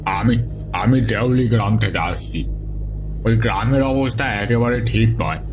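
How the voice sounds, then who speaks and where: A man playing a desperate, injured villager who pleads haltingly for rescue in a horror audio story. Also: on the low side, music in the background, natural voice. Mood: desperate pleading.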